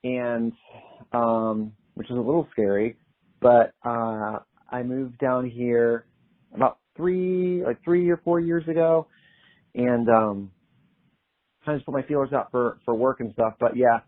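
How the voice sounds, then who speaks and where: Young male singer-songwriter guest, relaxed and chatty, laughs easily; narrow phone-like sound. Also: mid-range voice, unhurried. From Pennsylvania. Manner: easygoing, chatty, laughs often. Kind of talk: casual interview chat. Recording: tinny phone-like line.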